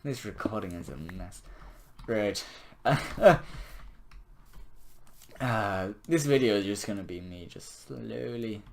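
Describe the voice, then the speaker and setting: A young man with a hoarse, fading voice talks casually through a building session in a game. His pitch swings widely, and he keeps mocking himself with dry chuckles. Faint keyboard clicking runs behind him. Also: lively intonation, slow pace, long pauses, slight background noise. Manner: self-mocking, chuckling, rambling. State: hoarse, voice nearly gone. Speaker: gaming video creator.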